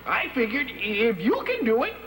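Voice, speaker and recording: A man's voice: very high-pitched, huge pitch swings, normal speed, a bit bright, full character voice. A man playing a brash, scatterbrained new student in broad children's TV comedy, folksy, blustery and bumbling. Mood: cocky. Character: Iggy, brash scatterbrained cowboy-ish new student, male. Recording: reverberant hall, faint noise.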